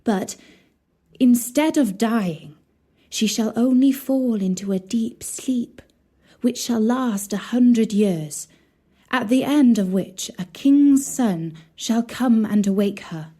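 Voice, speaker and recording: A woman's voice: on the high side, slow, dark tone. A woman storyteller voicing a young good fairy godmother who speaks formally and reassuringly in a storybook. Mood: solemn, reassuring.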